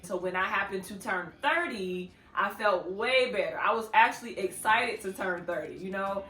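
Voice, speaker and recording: A woman's voice: mid-range voice, normal speed, sharp tone. A woman in her thirties hosting her own channel, giving casual advice to the camera in a chatty, playful way and laughing often. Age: 30s. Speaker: vlogger, channel host. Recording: echoey room with faint music.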